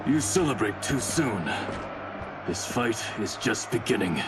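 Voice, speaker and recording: Against a loud rumbling background, a confident warrior ally speaks. His voice is fairly low and lively in pitch, steady and dramatic as in a video game cutscene. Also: measured pace, very noisy. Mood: defiant.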